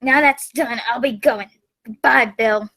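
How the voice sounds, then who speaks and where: A woman's voice: fairly high pitch, unhurried, background noise. A woman playing a menacing blind old male beggar pirate in a radio play, wheedling or sharply commanding. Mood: cold, commanding.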